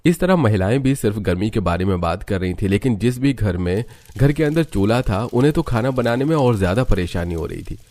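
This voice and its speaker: Male narrator with a low voice for an animated village tale. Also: a little fast, hardly any pauses. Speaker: story narrator. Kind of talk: scripted Hindi cartoon story narration.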